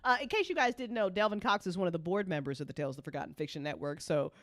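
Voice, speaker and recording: A woman's voice: lowish voice, animated, very fast, no room sound, studio quality. A woman hosting a casual after-show chat and promoting an audio drama network.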